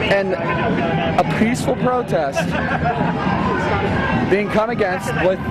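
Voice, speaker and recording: A man's voice: high-pitched, takes it slowly. A man speaking as a protest activist and citizen reporter, declamatory and pleading, making an impassioned speech out on a city street. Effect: bullhorn amplification. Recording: very noisy outdoor street, loud crowd, traffic.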